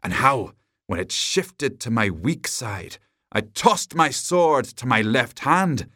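A man acts out a vain storybook knight, pompous and very pleased with himself. He brags with big swings in pitch, in a dry, close recording. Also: expressive, slow, pushed and bright, no room sound, heavily acted. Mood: boastful. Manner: pompous, bragging. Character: boastful, self-satisfied male knight, triumphant and vain.

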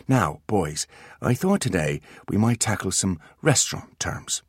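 A man's voice: fairly low pitch, sing-song, normal speed, slightly rough, no room sound, clean studio sound, voice pushed up. A man voicing a clever teenage boy patiently lecturing his little brothers.

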